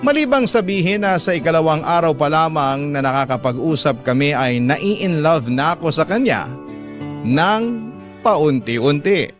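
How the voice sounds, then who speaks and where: A man narrates a radio love story as host, his voice a little high for a male. The delivery is scripted and sentimental, with guitar music clearly playing behind him. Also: expressive, measured pace.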